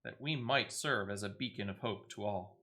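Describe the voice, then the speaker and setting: A man's voice: fairly low pitch, moderate pace, bright, forceful tone. Man reading as a courteous, formal fantasy ally. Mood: earnest, wry. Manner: formal, archaic phrasing. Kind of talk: reading game dialogue in character.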